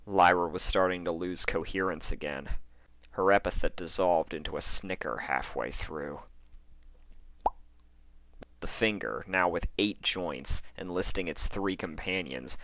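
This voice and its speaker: A man narrating a scripted horror story in a theatrical, expressive way. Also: low-pitched, no room sound. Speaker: fan fiction narrator.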